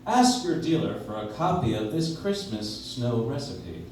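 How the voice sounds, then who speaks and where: Male announcer for old-style radio show, animated scripted delivery, far from the mic, faint noise behind. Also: mid-range voice, measured pace. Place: live stage in a coffee shop. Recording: very echoey room, distant, faint noise.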